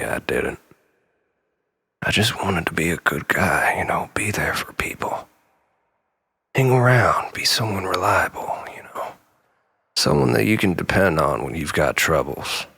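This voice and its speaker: An actor playing a troubled, obsessive man pleading with his lost lover in a halting, rambling dramatic monologue, often dropping near a whisper. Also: very deep voice, expressive, vocal fry, pushed and bright, breathy edge, full character voice. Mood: regretful, pleading. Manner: halting, rambling, nervous self-corrections and sighs.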